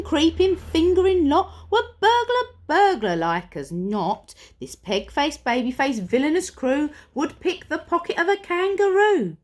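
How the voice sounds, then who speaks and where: A woman reading a rhyming picture book aloud to children in a theatrical, playful way. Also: high voice. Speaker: primary school storyteller.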